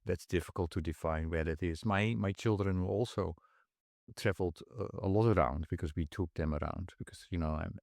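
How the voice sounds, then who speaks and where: Host: middle-aged man, very deep, gravelly, relaxed interviewer, dry close mic. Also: normal speed, no echo. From Netherlands. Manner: easygoing, with short backchannels. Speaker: podcast host. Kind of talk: casual interview.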